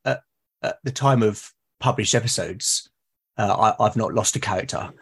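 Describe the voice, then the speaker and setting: A man's voice: lowish voice, moderate pace, husky. A man with a British accent chatting casually and earnestly as a podcast guest. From Britain. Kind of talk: casual conversation.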